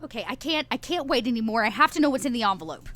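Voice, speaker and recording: Eager high-voiced woman playing a tabletop game. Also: animated, rapid-fire, a bit bright. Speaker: player in a tabletop roleplaying game. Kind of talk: casual, improvised game talk.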